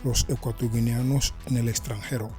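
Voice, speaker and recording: A man's voice: mid-range voice, level tone, moderate pace, a little mellow. A man from Equatorial Guinea reads scripted, informative documentary narration. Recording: music under the voice. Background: background music.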